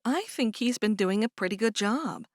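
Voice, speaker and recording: A woman reading aloud and voicing a kind, calm older sister who speaks gently and reassuringly. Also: mid-range voice, moderate pace, dry recording, studio quality, close to an everyday voice. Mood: soothing.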